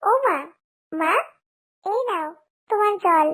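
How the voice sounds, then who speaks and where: A cheerful little daughter in an animal cartoon. The voice is extremely high and childlike, with a sing-song, eager and insistent delivery. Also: female voice, takes it slowly, fairly bright tone, exaggerated voice. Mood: curious delight. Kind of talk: cartoon character acting.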